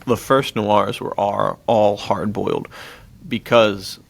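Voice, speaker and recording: A man's voice: fairly low pitch, fairly even intonation, slow pace, gravelly. A man co-hosting a podcast, retelling film plots in a casual, enthusiastic and slangy way. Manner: enthusiastic, chuckles as he talks, slangy. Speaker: podcast co-host.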